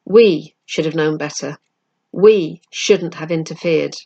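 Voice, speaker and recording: A woman reader plays an earnest, thoughtful schoolboy in a children's story. The boy sounds gentle and a little hesitant. The recording is slightly muffled. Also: low-pitched, slow, husky, voice pushed down, heavily acted. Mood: gentle, concerned.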